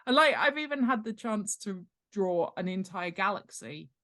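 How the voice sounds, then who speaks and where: A woman's voice: mid-range voice, lively intonation, moderate pace, fairly bright tone. A woman chatting casually as an interview guest, talking in a humorous, self-deprecating way about herself and her work. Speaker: guest author and world-building expert.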